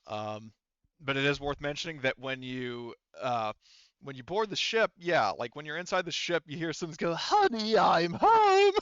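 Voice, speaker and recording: Man, game master, bright tone, dry close sound, casual, rambling, self-correcting. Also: medium pitch, expressive, moderate pace, clear, resonant tone, no echo, dull audio. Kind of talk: running a tabletop roleplaying game, casual.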